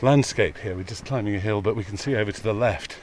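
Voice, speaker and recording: A man's voice: lowish voice, quick pace. A man hosting a walking interview, asking short, curious questions while a little out of breath climbing a hill outdoors. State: a bit out of breath. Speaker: podcast host. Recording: slightly distant outdoor mic, faint noise.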